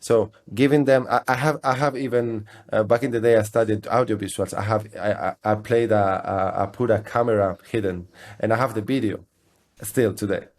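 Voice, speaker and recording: A middle-aged man who speaks English as a second language, telling his story as an interview guest in a halting, conversational way with repeated phrases. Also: lowish voice, steady tone. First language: not English. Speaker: interview guest, software company CEO.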